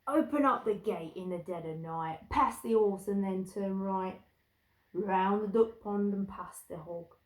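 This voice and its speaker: Woman acting male cattle thief, storybook villain, hushed, conspiratorial, unhurried, roomy echo. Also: high voice, fairly even intonation, gravelly, voice pushed up. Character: two scheming male thieves plotting a farmyard heist.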